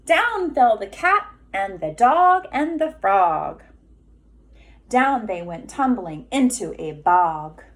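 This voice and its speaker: A woman's voice: medium pitch, very animated, slow. A woman reading a storybook aloud to young children as a teacher, drawing out key words for emphasis.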